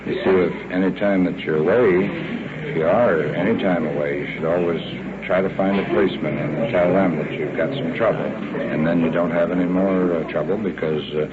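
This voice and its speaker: Deep american cop explaining stuff to a kid, tinny 50s tape, loud noise. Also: male voice, steady tone, brisk. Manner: instructive, addressing a child. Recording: noisy, phone-like 1950s field recording.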